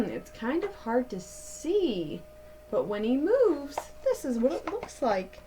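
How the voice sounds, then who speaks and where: A woman in her thirties reading a picture book aloud to children like a teacher, with deliberate, dramatic emphasis. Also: on the high side, darkish tone, slight background noise. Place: small indoor room at home.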